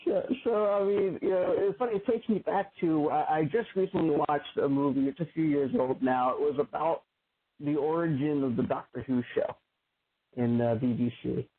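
A man's voice: on the high side, normal speed. A man hosting a casual discussion, talking conversationally with restarts and frequent "right?". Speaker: host. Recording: narrow phone-like audio.

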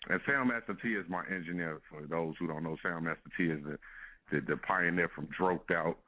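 A man's voice: deep voice, brisk. A man, a music artist guest, talking in a laid-back casual way with frequent laughs and fillers over a phone call. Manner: laid-back, laughs often, fillers like "you know what I'm saying". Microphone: telephone. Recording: phone-line call-in audio.

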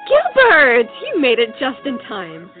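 Woman acting excitable high-voiced young society hostess. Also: measured pace, full character voice. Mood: eager, pouty. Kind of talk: theatrical comic period audio drama. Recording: tinny phone-like sound, music behind.

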